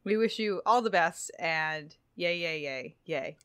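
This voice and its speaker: A woman's voice: on the low side, lively intonation, unhurried, a bit bright, clean tone, no echo. Woman chatting casually, dry close sound. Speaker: podcast co-host. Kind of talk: casual book-club podcast chat.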